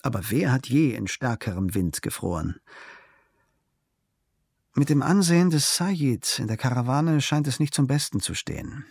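A man's voice: mid-range voice, a little mellow, no room sound, clean studio sound. A man reading a literary travel diary as scripted narration. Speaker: audiobook narrator.

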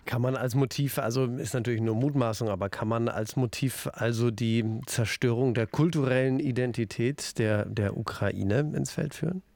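Gravelly radio host, low and dry. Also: male voice, no echo. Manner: calm, measured questioning. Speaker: radio culture show host. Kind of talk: radio interview moderation. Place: radio studio.

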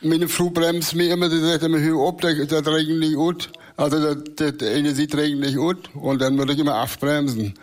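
A man whose first language is Low German, speaking with a northern German accent as a talk show guest, giving short, plain answers. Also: little pitch movement, fairly quick, hardly any pauses. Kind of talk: casual chat.

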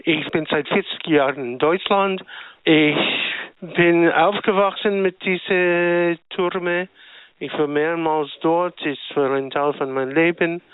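A man's voice: fairly high pitch, fairly even intonation, clean tone, no room sound. Older man calling in. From United States. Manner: slow, reflective, with non-native grammar. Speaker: caller. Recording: tinny phone line.

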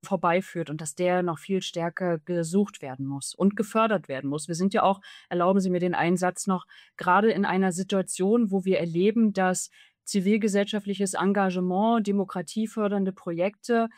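Author in her 30s, interview guest; animated, long run-on answers, dry close recording. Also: fairly low pitch, moderate pace, no echo.

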